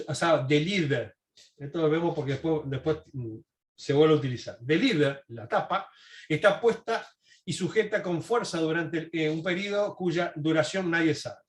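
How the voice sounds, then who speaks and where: A man giving a history presentation, reading and commenting in a halting, self-correcting way. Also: fairly high pitch. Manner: halting, with frequent self-corrections and throat clearing. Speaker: lecturer. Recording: echoey, roomy sound.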